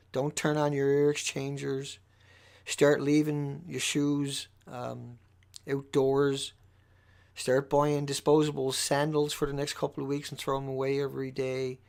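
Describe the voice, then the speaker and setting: A man with a squeaky voice giving an impassioned, off-the-cuff livestream monologue, urgent and emphatic, repeating phrases for stress. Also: vocal fry. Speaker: livestream host.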